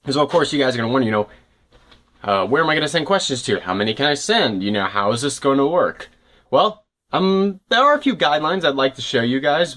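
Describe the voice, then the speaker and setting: A man's voice: medium pitch, a bit bright. A man speaking informally and directly to viewers as an online creator, explaining guidelines in a casual video announcement.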